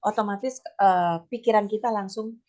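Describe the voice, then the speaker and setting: A middle-aged woman with a slightly hoarse voice, giving an informal teachers' workshop talk in a chatty, step-by-step way sprinkled with English terms. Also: medium pitch, moderate pace, darkish tone. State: slightly hoarse, voice not back to normal. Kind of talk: informal training talk with personal examples.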